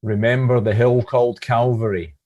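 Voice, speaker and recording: A man's voice: medium pitch, fairly even intonation, normal speed, dull audio. A man reading a scripted devotional reflection as one of a church's readers.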